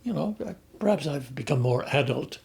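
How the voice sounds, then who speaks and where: An older man with an Irish accent, talking about his life at an unhurried pace, often pausing to restart his sentences. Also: a little high, lively intonation, vocal fry, a little mellow. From Ireland. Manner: hesitant, with many restarts and fillers. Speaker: interviewee. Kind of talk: reflective conversational storytelling.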